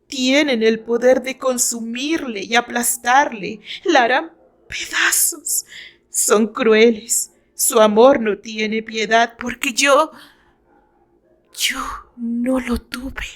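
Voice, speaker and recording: A woman voicing a fragile, tormented, tearful woman, bitter and resigned, with halting, breathy theatrical delivery in an audio novel. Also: on the high side. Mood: ominous warning. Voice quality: thin, whispery voice at moments.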